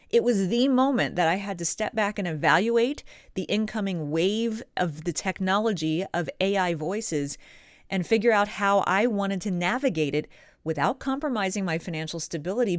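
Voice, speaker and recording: A woman hosting a vlog as a professional voice actor, speaking straight to the audience in emphatic, deliberate phrases with pointed pauses. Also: lowish voice, no echo, studio quality. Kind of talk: direct-to-audience scripted vlog monologue.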